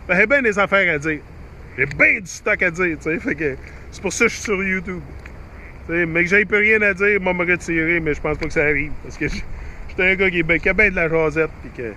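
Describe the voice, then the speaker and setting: Man, Québécois French accent, rambling vlog talk, restarts and fillers, bright tense tone, faint outdoor ambience. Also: a little high, a little hiss or hum. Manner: rambling, chatty, frequent fillers and restarts, mixes in English phrases. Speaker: YouTuber. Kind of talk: rambling casual monologue to viewers.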